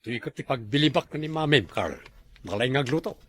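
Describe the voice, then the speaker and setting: A man's voice: mid-range voice, lively intonation, fairly quick, breathy edge. A man acts a teasing Ilocano husband in a domestic comedy drama. His delivery swings up and down as he bickers. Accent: Ilocano Filipino.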